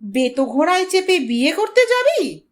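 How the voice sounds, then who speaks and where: A woman's voice: very high voice, big pitch swings, normal speed. A woman voicing a thrifty village elder brother in an audio story, questioning and incredulous.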